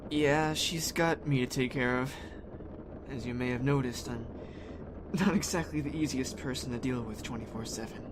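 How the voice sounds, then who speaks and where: A young man playing a blunt, troubled teenage boy in an audio drama, casual and halting. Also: medium pitch, close to an everyday voice. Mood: awkward, casual. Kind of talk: fan audio drama acting. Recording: noisy ambience behind the voice.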